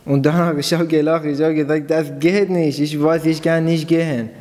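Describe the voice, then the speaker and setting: A man from Iran giving a personal testimony in halting, phrasing, pausing after each short part. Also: a little high, fairly even intonation, a little mellow. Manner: simple non-native German, halting phrasing. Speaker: church member giving testimony. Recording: long hall reverb.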